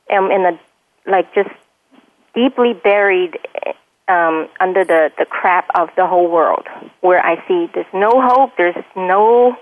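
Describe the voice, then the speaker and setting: A woman's voice: mid-range voice, dry recording. Woman from China on a tinny phone line. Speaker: caller to a radio show. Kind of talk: personal, confiding talk on air.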